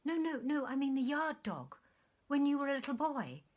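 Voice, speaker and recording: A woman's voice: sits high, sing-song, moderate pace, darkish tone, pitched above the performer's own voice. Literary story role: woman plays eager yet guarded female former lover, polite and restrained.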